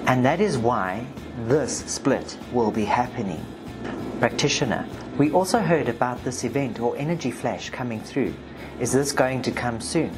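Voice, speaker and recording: A South African woman with a very deep voice narrates a spiritual update, reading transcripts aloud in an emphatic way. Music plays clearly behind her. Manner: emphatic, forceful when reading the client. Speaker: video host, spiritual practitioner. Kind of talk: narration read from session transcripts.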